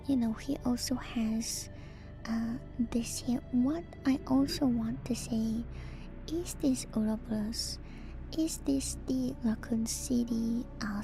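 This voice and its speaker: A woman whispering softly and breathily into a close mic, giving musing, hesitant ASMR commentary on a livestream. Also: fairly high pitch, vocal fry. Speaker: livestreamer host. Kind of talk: whispered ASMR video game lore commentary.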